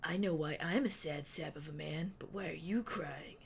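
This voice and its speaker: A woman doing a hushed, wistful wandering man. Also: low-pitched, normal speed, vocal fry, a little hiss or hum. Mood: gentle, wistful. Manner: soft, hushed, drawling delivery. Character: kindly eccentric wandering man, sad and wise.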